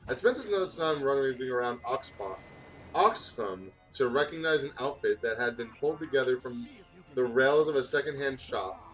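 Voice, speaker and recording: Animated man reading fan fiction aloud. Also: medium pitch, rough recording. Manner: animated, stumbles over words while reading. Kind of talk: casual fan fiction read-aloud with joking asides.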